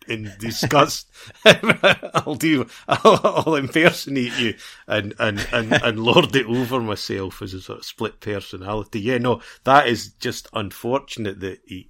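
A man with a fairly low voice talks football as a podcast co-host. He is casual and rambling, restating phrases while he works toward his point, with a wry, teasing edge. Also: bright, forceful tone. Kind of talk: casual sports chat.

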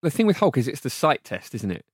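A man's voice: mid-range voice, very animated, quick pace, breathy edge, no room sound, studio quality. A British man chatting casually about football, opinionated and given to mock exasperation. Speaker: podcast guest pundit in the hot seat. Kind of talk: casual football banter chat.

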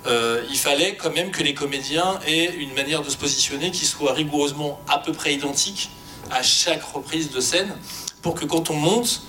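A man speaking informally and spontaneously as a panelist, explaining technical work with halting, repeated words. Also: sharp tone. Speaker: sound fiction creator on an expert panel. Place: panel discussion before an audience. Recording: faint rumbling background noise.

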